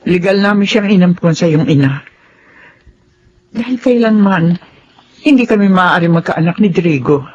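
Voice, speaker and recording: A woman's voice: lowish voice, animated, moderate pace, long pauses, a little mellow, dull audio, a little hiss or hum, natural voice. An actress playing a worried, regretful middle-aged mother in a Filipino radio drama, with a Filipino accent.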